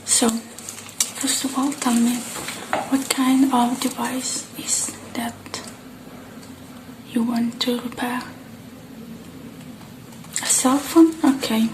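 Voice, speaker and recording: Soft, slow-spoken repair mechanic played by a woman. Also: fairly high pitch, flat delivery, noisy background. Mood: polite, attentive. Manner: soft-spoken, hushed, hesitant pacing. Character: polite, patient female robot mechanic taking repair orders.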